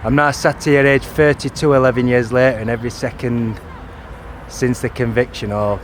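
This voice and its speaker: A man's voice: mid-range voice, little pitch movement, moderate pace. A man, talking to camera outdoors by a street, repeating and correcting himself insistently. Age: 30s. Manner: repetitive, restarting, insistent. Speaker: vlogger. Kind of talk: first-person video to camera. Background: traffic noise.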